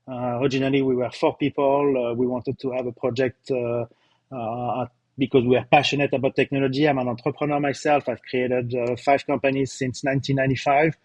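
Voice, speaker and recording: A man's voice: mid-range voice, level tone, brisk, a little mellow, no room sound, rough recording. A middle-aged man with a slight French accent, a company co-founder giving a live results presentation, emphatic, with many fillers and repeated words.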